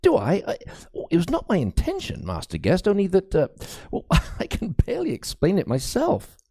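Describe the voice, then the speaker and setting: A man voicing a fearful young medieval priest in an audiobook, speaking in a halting, anxious, stammering way. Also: sits high, huge pitch swings, vocal fry, warm tone, no room sound, clean studio sound, voice pushed up, heavily acted. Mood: nervous, faltering.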